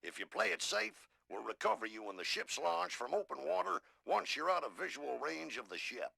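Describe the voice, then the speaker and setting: A man playing a raspy military officer who briefs an agent in a video game. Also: a little high, big pitch swings, moderate pace, bright, forceful tone, no echo, heavily acted. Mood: businesslike.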